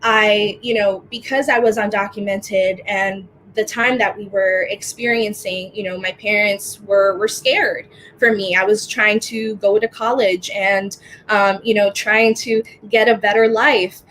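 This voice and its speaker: A woman's voice: medium pitch, fairly even intonation, a little slow, slight background noise, rough recording. Earnest young woman organizer on a call. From Dominican Republic. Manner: warm, earnest, many fillers. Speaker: panelist, organizer. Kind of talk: casual spoken remarks.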